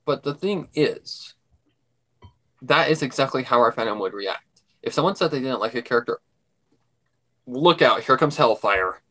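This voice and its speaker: Emphatic man chatting casually, medium pitch. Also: lively intonation, normal speed, pauses often, pushed and bright. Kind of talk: casual fan chat.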